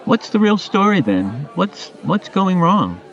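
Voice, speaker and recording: A man's voice: high voice, big pitch swings, measured pace, fairly bright tone, muffled recording, a faint crowd, exaggerated voice. A man playing a supportive, pragmatic friend in a radio play, questioning and advising conversationally. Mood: concerned, probing. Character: Jerry, supportive, pragmatic male friend giving advice.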